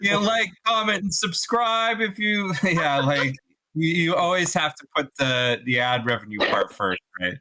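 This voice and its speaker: A man's voice: on the high side, expressive, a little slow, sharp tone, low-quality recording. A man giving rambling, explanatory feedback in a casual group discussion of screenplays, often checking for agreement. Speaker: participant giving script feedback. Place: online meeting.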